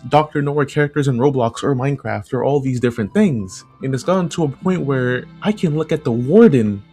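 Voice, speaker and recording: A man's voice: medium pitch, fairly quick, darkish tone, husky. Man giving informal commentary over music. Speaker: video essay host and analog horror creator. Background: background music.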